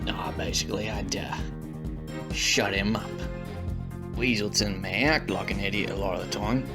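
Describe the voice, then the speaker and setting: A man's voice: lowish voice, close to an everyday voice. A man voicing a cold, matter-of-fact animal prisoner, bluntly explaining himself in an audio drama.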